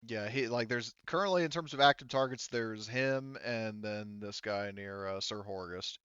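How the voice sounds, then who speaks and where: A man narrating a tabletop game as game master, casually and haltingly, with some swearing. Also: on the low side, a bit bright, clear, resonant tone, no echo, muffled recording. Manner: halting, profane.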